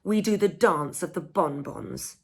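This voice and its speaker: A woman's voice: lowish voice, animated, unhurried, creaky, breathy edge, exaggerated voice. Woman reading as a plucky young ballet girl. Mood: glum. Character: young girl narrator, ballet-loving, plucky, devoted to her dog. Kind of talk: picture-book read-aloud.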